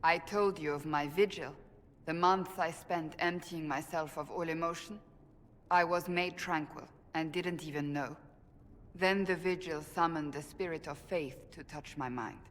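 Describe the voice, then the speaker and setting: Low-voiced, stern holy warrior. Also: female voice, fairly even intonation, measured pace. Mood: grave. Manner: grave, formal, intense. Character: stern, earnest warrior woman of a holy order. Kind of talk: dramatic fantasy game acting. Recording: reverberant hall with faint ambient noise.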